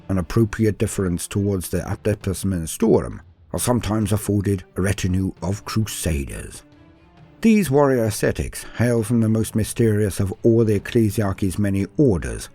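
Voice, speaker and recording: A man's voice: lowish voice, warm tone, breathy edge. A middle-aged man narrating scripted lore in a grandiose, theatrical storyteller's manner. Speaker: lore channel host.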